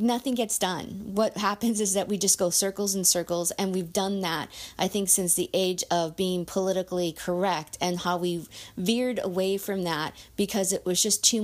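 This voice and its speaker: A woman giving a frank, earnest personal monologue, thinking aloud and restarting her sentences as she goes. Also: medium pitch. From United States.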